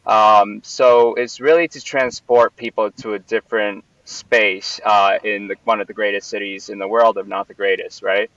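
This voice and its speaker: A man from Puerto Rico speaks in a low, flat voice, wandering through his answers with constant fillers and false starts. The sound is dull and lo-fi, picked up from well off the mic in a dry space. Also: moderate pace, few pauses, no room sound, distant mic. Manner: rambling, many fillers and restarts. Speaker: venue owner and founder. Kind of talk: casual interview answers.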